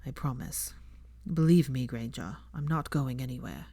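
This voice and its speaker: Woman voicing a low, murmuring, weary young man. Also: normal speed, mellow, no echo. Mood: heavy, tender. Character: young British man, dry, weary, tenderly teasing lover. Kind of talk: fan fiction audiobook dialogue.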